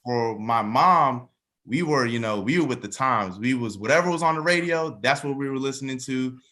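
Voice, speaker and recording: A man's voice: medium pitch, moderate pace, bright, forceful tone. A man in his twenties chatting casually in an interview, often repeating phrases for emphasis. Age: 20s. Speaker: musician and songwriter interviewed as a cast member.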